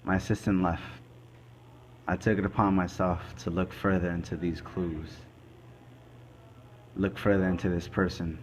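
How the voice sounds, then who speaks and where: Man playing a low-voiced, grave crime investigator. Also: level tone, moderate pace, pauses often, a little hiss or hum, close to an everyday voice. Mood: grave, deliberate. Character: male forensic investigator recounting a murder case, grave and methodical. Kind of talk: scripted dramatic monologue, amateur student production.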